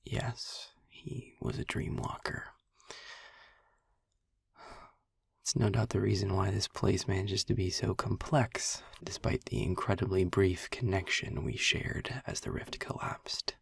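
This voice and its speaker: A man playing a sarcastic, weary imprisoned demon in an intimate audio roleplay, with a husky, gravelly, breathy voice and a dry, articulate, deliberate delivery. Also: deep voice, no echo, whispered, full character voice. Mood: bitter, somber. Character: sarcastic, weary male demon, long imprisoned, dryly eloquent. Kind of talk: audio roleplay, intimate slow monologue to the listener.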